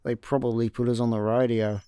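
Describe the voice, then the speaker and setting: A young Scottish man playing a chilled, work-shy space layabout in a comic radio play, deadpan and unbothered. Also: medium pitch, a little fast, clear, resonant tone, dry recording, lightly acted. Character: chilled, work-shy male space slacker, dryly sarcastic. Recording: dry studio, faint music behind.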